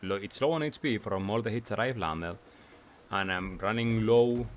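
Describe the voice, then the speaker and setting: A man's voice: on the low side, normal speed, a little hiss or hum. A man doing gameplay commentary as a video creator, explaining in short clipped phrases and often asking himself questions before answering them.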